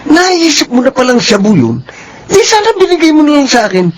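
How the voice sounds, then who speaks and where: A scornful, greedy Filipino street friend in a radio drama. He is quick to temper and scolds in a high-pitched voice, with faint noise behind him. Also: very animated. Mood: scornful, scolding. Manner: scolding, contemptuous.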